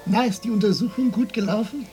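A woman's voice: medium pitch, brisk, mellow, faint music behind, natural voice. An actress playing a motherly, frank bystander in a radio drama, urging and plain-spoken. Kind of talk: German radio drama acting.

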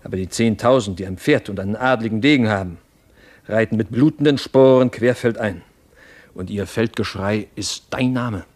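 Guy doing fervent scheming tutor, radio drama, lowish raspy voice, grand urgent speeches. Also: normal speed, darkish tone, natural voice. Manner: urgent, rhetorical, commanding. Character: fervent, scheming mentor and jailer urging revolt. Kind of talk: theatrical German radio drama acting.

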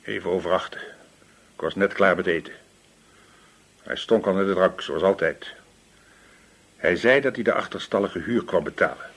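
An actor playing a shifty, indignant suspect who feigns innocence, evasive and protesting, in a radio play. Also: male voice, sits low, moderate pace, long pauses, fairly bright tone, full character voice. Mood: grudging. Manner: evasive, protesting, smooth-talking. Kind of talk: Dutch radio play dialogue.

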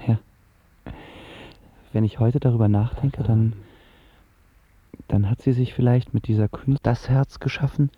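An actor playing an awkward, lovestruck young man in a radio drama, recalling his first love in halting, intimate, colloquial narration. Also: mid-range voice, steady tone, breathy edge, no echo. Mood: wistful, reflective. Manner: halting, reflective, colloquial asides. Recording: muffled sound under loud music.

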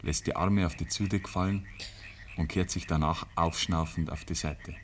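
A man with a Bavarian accent narrating a 1950s radio play in measured, rustic storytelling. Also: deep voice, steady tone, lightly acted. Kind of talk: scripted literary radio narration. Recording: noisy old 1950s radio recording.

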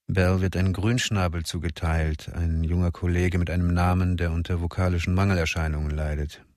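Deep, dry-voiced male narrator. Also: little pitch movement, darkish tone, dry recording. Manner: measured, detached. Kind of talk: scripted radio drama narration.

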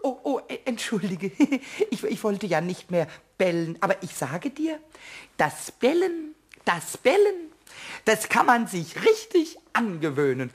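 A man playing an impish, childlike little male kobold in a children's radio play, squeaky and stammering with excitement. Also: measured pace, in a large hall, full character voice. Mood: sheepish, playful.

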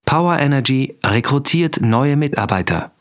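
An actor playing a terse announcer of chapter headings in a crime radio drama. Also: male voice, medium pitch, expressive, normal speed, noisy background, close to an everyday voice. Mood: factual, terse. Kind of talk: scripted radio drama narration. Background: music.

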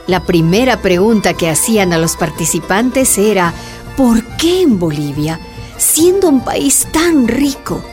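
Mid-pitched woman narrating radio drama. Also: a little mellow.

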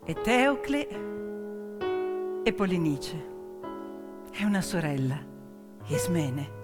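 A woman performing theatrical narration as a stage storyteller, emphatic and dramatic, with deliberate pauses and hushed drops. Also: lowish voice, sing-song. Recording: hall reverb with piano music behind. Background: piano music.

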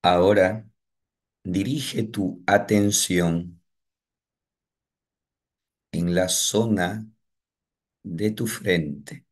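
A man leading a guided morning meditation, giving calm, repetitive breathing instructions with long pauses. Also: low-pitched.

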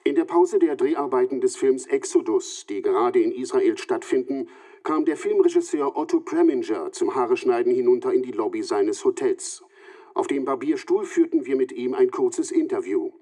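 An actor playing a measured radio news reporter, reading scripted narration in a formal manner. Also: male voice, mid-range voice, warm tone, natural voice.

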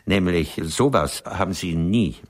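An actor playing an ageing, urbane womanising writer in a German radio play, speaking in a rough, husky voice with dry, courtly irony. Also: low-pitched, a little slow. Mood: cool, matter-of-fact. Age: older.

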